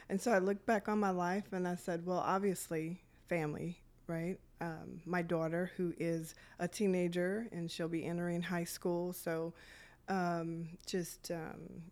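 A middle-aged woman from Detroit speaks as a podcast guest, her voice low and gravelly. She tells her own story in a reflective way, pausing often on fillers. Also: a little mellow. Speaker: guest, youth programs director. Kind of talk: podcast interview, personal story.

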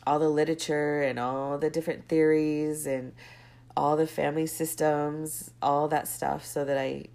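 A young woman with a low-pitched, congested voice hosts her own podcast. She talks casually and rambles, drifting into side stories before circling back to her point. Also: flat delivery, moderate pace, creaky, a little mellow. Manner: rambling, goes off on tangents. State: stuffy, congested with a cold. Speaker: podcast host. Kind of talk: casual solo podcast monologue.

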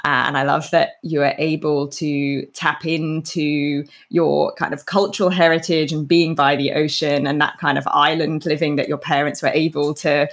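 A woman's voice: low-pitched, flat delivery, normal speed, few pauses. A woman hosting an interview show, speaking in prepared introductions and questions to her guest. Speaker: podcast host.

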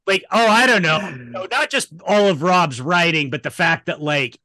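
Male podcast host, forceful and slightly high voice, blunt, emphatic casual chat. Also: expressive, normal speed, no room sound.

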